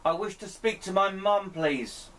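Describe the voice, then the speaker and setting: A man speaking in deliberate, pleading short phrases, putting questions to a spirit as an amateur experimenter. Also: high-pitched. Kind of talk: slow spoken questions addressed to a spirit. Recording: distant mic, clear background noise.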